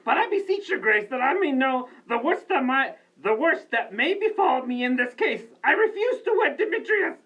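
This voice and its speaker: A woman playing a stubborn young daughter, halting and earnest, in a live Shakespeare reading with slightly dull sound. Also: sits high, sing-song, a bit bright. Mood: pleading, defiant.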